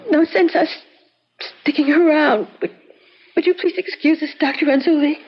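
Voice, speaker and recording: A woman's voice: sits high, fairly even intonation, moderate pace, muffled recording, lightly acted. A young woman playing a devoted, resolute granddaughter in old-time radio drama, tender and earnest. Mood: tender, determined.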